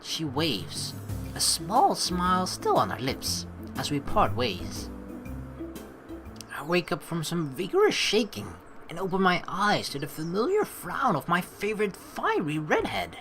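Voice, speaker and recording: A woman's voice: on the low side, sing-song, unhurried, music in the background. A young woman doing a playful let's play, switching between casual commentary and theatrical narration read aloud.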